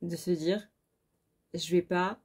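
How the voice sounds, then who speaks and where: A woman's voice: on the low side, fairly even intonation, slow, darkish tone, slightly rough. A very tired woman giving a casual, chatty tarot reading, speaking informally and bluntly with mild swearing. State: very tired, short of sleep. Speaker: tarot card reader.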